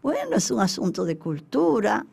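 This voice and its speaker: Creaky-voiced Dominican woman, emphatic storyteller. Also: medium pitch, huge pitch swings, moderate pace, crowd noise. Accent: Dominican Spanish. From Dominican Republic. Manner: emphatic, acting out remembered exchanges in quoted speech. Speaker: interview guest, former representative of her country in China. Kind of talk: conversational interview storytelling.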